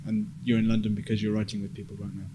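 A man's voice: on the low side, completely flat delivery, very fast, dull audio, background noise. A man hosting a podcast in a casual interview style. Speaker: podcast host.

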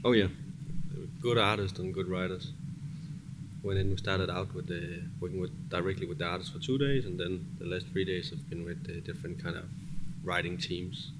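Male interview guest, Danish accent, deep register, loose conversational delivery, noisy studio. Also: fairly even intonation, moderate pace. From Denmark. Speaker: music producer guest. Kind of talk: casual chat. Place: cramped studio.

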